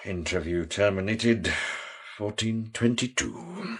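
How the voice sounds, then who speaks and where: A controlled male police officer in a radio drama. His voice is husky, his words come slowly and his manner is dry and terse. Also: on the low side, big pitch swings, fairly bright tone, full character voice. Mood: flat, official.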